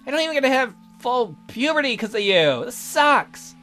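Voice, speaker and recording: A man's voice: extremely high pitch, sing-song, moderate pace, pushed and bright, faint music behind, voice pushed up, full character voice. A man voicing a bitter, sarcastic youth trapped in a child's body, reading visual novel dialogue with theatrical indignation. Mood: indignant. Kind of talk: visual novel character read-aloud.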